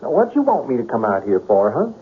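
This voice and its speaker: An actor playing an anxious, puzzled sidekick in a western radio drama, flustered and questioning. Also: male voice, mid-range voice, huge pitch swings, quick pace. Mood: flustered, worried. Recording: narrow tinny radio broadcast, faint hiss.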